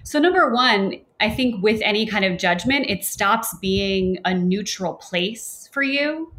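A woman's voice: medium pitch, measured pace. Young woman meditation teacher, laid back and chatty, jokes around a bit. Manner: relaxed, chatty, playful asides. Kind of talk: casual podcast conversation.

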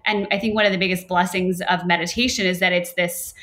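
A woman's voice: lowish voice, fast. Young woman meditation teacher, laid back and chatty, jokes around a bit. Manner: relaxed, chatty, playful asides. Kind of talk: casual podcast conversation.

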